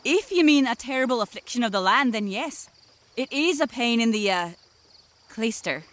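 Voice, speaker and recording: A woman's voice: fairly high pitch, expressive, moderate pace, a bit bright. A woman playing a stately, helpful elder of magical water folk, speaking formally and grandly in a fantasy comedy audio drama. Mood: earnest. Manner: formal, grandly archaic diction.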